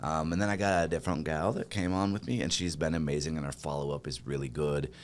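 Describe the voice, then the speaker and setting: A man in his forties with a deep voice, a real estate team leader talking as a podcast guest in a jovial, rambling way. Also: steady tone, fast talker, slight background noise. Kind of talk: casual podcast conversation.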